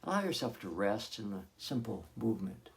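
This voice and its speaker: A man leading a guided meditation as a hypnotherapist, giving gentle, soothing instructions with long pauses between phrases. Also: fairly low pitch, very animated, gravelly.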